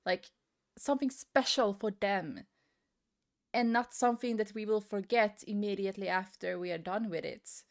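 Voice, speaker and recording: A woman's voice: mid-range voice, normal speed. A woman giving a casual, rambling opinion rant as a gaming video creator, often hesitating and repeating herself.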